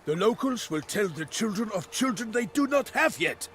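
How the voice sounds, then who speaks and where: An actor playing a proud, earnest knight errant in a video game, speaking in a formal, flowery, theatrical way. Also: male voice, sits high, very animated, moderate pace, a bit bright. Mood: courtly, earnest. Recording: music behind.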